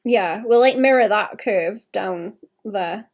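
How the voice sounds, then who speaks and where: A young woman with a gravelly, hoarse voice chats casually while sketching on a livestream, often correcting herself and softly laughing. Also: mid-range voice, slow pace. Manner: chatty, self-correcting, many "like"s and soft laughs. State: hoarse, suppressing a cough. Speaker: digital artist streaming a commission. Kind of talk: casual thinking-aloud commentary while sketching.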